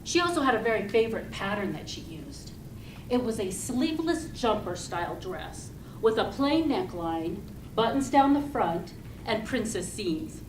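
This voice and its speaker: A woman giving a rehearsed storytelling speech to an audience, speaking deliberately and expressively with pauses. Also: mid-range voice, sing-song. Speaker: club speaker giving a tall tale speech. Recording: roomy, distant mic with loud noise.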